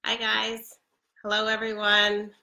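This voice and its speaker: A woman's voice: medium pitch, completely flat delivery, slow pace, creaky, pushed and bright. Congested woman in her forties, chatty and upbeat on a webcam live stream, roomy echo. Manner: chatty, upbeat, many ums, laughs often. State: congested from a head cold. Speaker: product seller. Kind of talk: casual live stream chat. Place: living room with a Christmas tree. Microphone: webcam at close range. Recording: echoey room.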